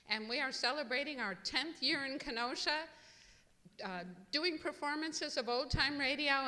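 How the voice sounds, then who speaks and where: A woman's voice: a little high, bright, forceful tone. A woman presenting a live show, giving a welcome announcement to the audience from the stage. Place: museum event room before an audience. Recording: reverberant hall sound.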